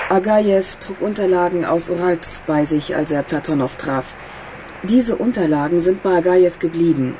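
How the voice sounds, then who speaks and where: Lowish-voiced, composed actress as a secretive go-between. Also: female voice, heavy background noise, lightly acted. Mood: earnest, composed. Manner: businesslike, guarded, composed. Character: composed, discreet female go-between passing on messages. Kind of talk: radio drama phone dialogue.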